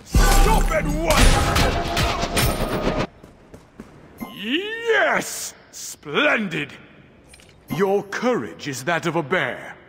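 An actor playing a vain, boastful warrior lord in a video game, with pompous, theatrical taunts. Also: male voice, high voice, very animated, drawn-out words, long pauses, a bit bright, soft background music, full character voice. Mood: commanding, exultant. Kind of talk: video game character voice lines. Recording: echoing game audio with background noise.

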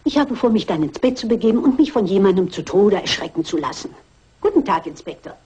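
An actress playing a shrewd, self-assured elderly lady sleuth in a German radio crime play, brisk and politely commanding. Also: medium pitch, big pitch swings, mellow, husky. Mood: scheming, brisk. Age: older. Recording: dull, band-limited radio play recording.

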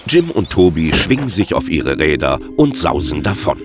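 A man's voice: very deep voice, big pitch swings, normal speed, over music. A man narrating a children's audio drama as a scripted storyteller.

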